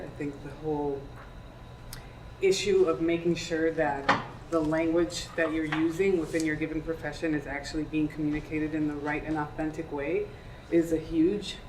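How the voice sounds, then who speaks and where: A woman in her thirties, born in Peru, speaking on a career panel to students in a conversational way and often asking them questions. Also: low voice. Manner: conversational, asks the audience questions. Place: lecture room. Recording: echoey room, distant mic, faint hiss.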